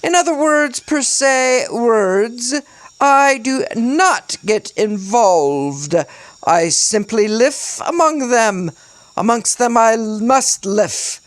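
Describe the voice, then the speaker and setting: A woman's voice: on the high side, animated, very slow, no echo, voice pushed up. A woman voicing a pompous male island castaway who rambles theatrically in an over-the-top British accent.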